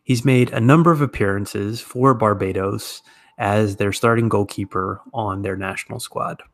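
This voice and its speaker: A man's voice: lowish voice, normal speed. A man with an American accent, speaking as a podcast host and interviewer. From United States. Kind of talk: podcast introduction and conversational interview.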